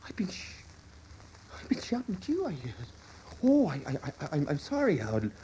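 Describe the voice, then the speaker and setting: A man's voice: high-pitched, wildly swooping pitch, fairly quick, mellow, a little hiss or hum. An actor playing a weary, proud older American salesman in a stage-style radio drama, stammering and rambling with desperate emphasis. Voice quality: husky, gravelly. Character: weary ageing travelling salesman, proud, desperate, self-deluding.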